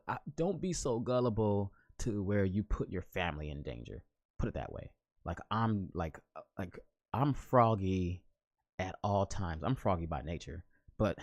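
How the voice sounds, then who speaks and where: A man's voice: deep voice, a little mellow, dry recording. A man in his thirties from the United States hosting a solo podcast, talking casually and rambling with frequent restarts and fillers. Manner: informal, drops into "ain't" slang. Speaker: podcast host. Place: home, living in Korea.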